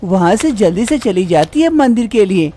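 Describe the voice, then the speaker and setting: A woman narrates a cartoon story in a mellow, mid-pitched voice. She keeps an even, steady pace, and faint music plays underneath. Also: moderate pace.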